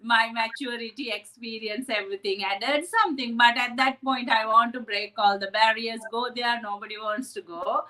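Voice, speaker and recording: A woman's voice: fairly high pitch, steady tone, moderate pace, few pauses, pushed and bright, low-quality recording. A woman from Sri Lanka, a psychotherapist telling her story earnestly in an interview, often adding "right?". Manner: earnest, reflective, with frequent "right?" asides. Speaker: psychotherapist guest.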